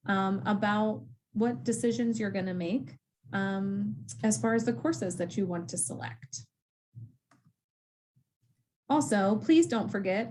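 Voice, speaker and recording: A woman's voice: mid-range voice, warm tone, slight background noise, rough recording. A woman who works at a school walks students through course selection in a friendly, casual way, with frequent ums. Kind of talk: casual informational talk to students.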